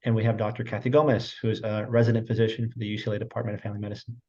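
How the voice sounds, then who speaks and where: A man's voice: on the low side, flat delivery, a little mellow, dull audio. A young man in his twenties, a medical student, hosting a video-call panel in a friendly way with many fillers. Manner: friendly, quick, with fillers and restarts. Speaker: host, medical student moderator.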